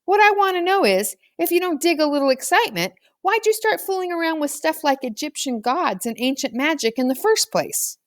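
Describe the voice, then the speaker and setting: A woman voicing a defiant, excitable boy who challenges his friends in slang, in a children's storybook reading. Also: sits high, brisk, few pauses, no echo, studio quality, pitched above the performer's own voice. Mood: scornful, excited. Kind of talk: children's novel read aloud.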